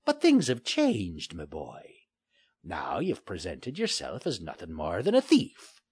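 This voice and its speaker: A man's voice: wildly swooping pitch, a little slow, a little mellow, husky, dry recording, clean studio sound, exaggerated voice. A man voicing a bulky, scheming male pirate with a growling, sneering pirate dialect, in a storybook reading. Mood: wheedling. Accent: old-style pirate dialect. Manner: jumps between high mocking lilts and low growls.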